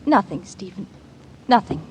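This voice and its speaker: A woman acts a lonely, genteel young wife in vintage radio drama. She speaks politely and hesitantly at a middle pitch. There is faint noise in the old recording. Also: expressive, normal speed, a little mellow, close to an everyday voice, poor audio. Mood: solicitous, hurt.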